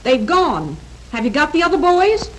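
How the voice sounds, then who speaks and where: A woman's voice: sits high, big pitch swings, moderate pace, noisy background. An actress playing an anxious farm mother making an urgent telephone call in a 1930s film.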